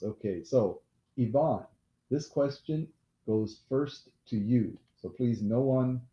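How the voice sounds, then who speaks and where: A man hosting a casual live-stream quiz game who has a loud voice, repeats each question and apologizes during asides. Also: lowish voice. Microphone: laptop mic. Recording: echoey room, dull lo-fi laptop audio.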